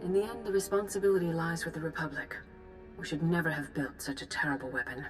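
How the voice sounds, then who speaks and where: A woman playing a composed, authoritative Jedi master in a video game, speaking in a measured, formal and grave way. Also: deep voice, steady tone, music in the background, close to an everyday voice, rough recording. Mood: calm, formal. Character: composed, authoritative female Jedi master giving mission briefings. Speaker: military commander briefing volunteers. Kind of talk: formal scripted game dialogue.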